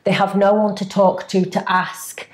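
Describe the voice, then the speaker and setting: A woman's voice: mid-range voice, level tone, unhurried. A woman co-hosting a casual podcast, talking earnestly and giving advice. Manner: earnest, advising. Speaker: co-host.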